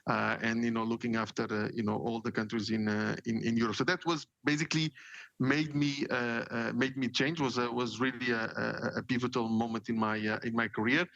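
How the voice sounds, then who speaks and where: Portuguese man, 40s, gravelly guest voice, dry dull mic, rambling with fillers. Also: medium pitch, little pitch movement, a little fast, fairly bright tone, dry recording. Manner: many fillers and repeated words, storytelling. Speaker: guest, marketing executive. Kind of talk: casual interview talk.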